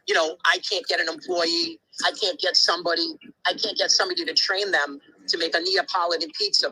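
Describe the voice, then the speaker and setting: A man's voice: sits high, pushed and bright, husky, low-quality recording. A man talking in a casual podcast conversation, telling things bluntly and emphatically, with many asides and restarts. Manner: blunt, occasional swearing.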